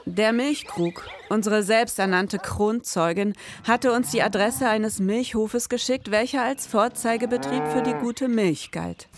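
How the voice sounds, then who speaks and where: An actress playing a precise, sober detective in a scripted noir audio drama, speaking in formal, matter-of-fact wording. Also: female voice, on the high side, unhurried, hardly any pauses, a crowd in the background. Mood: brooding, noir.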